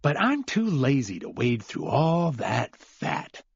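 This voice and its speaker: A man's voice: mid-range voice, big pitch swings, slow pace, vocal fry, husky, no echo, dull audio, pitched above the performer's own voice, heavily acted. A man voicing a blunt, defiant father with a wry, snarling edge, in an audiobook.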